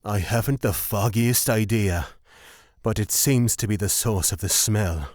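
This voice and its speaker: A man's voice: fairly low pitch, measured pace, darkish tone, no room sound, clean studio sound, close to an everyday voice. A man playing an earnest, dutiful knight scout in a fantasy audio drama. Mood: puzzled.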